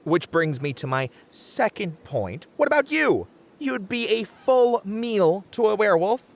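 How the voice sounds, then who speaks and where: A man's voice: high-pitched, very animated, unhurried, vocal fry, heavily acted. A man playing a muscular, sensible but easily flustered bunny-man camper in a comedic audio roleplay, hesitant and wry as he reasons aloud. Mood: indignant, teasing.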